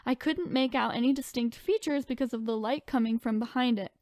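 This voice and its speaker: A woman's voice: fairly high pitch, fairly quick, a little mellow, no room sound. A woman co-hosting a podcast, reading online stories aloud and chatting in an informal, conversational way. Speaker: podcast co-host.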